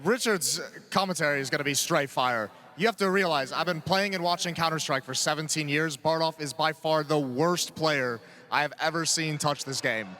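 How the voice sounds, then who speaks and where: A man's voice: a little high, normal speed, pushed and bright. A man trash-talking his opponent in a cocky pre-match interview. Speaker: player.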